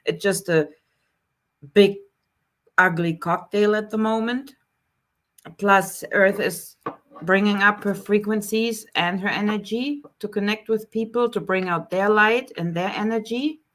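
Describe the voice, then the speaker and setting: A woman from Germany with a German accent, hosting a casual live question-and-answer stream, greeting viewers by name and talking in an unhurried way with many fillers. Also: medium pitch, a bit bright. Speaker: live stream host, spiritual healer. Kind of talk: casual live Q&A, reading chat comments.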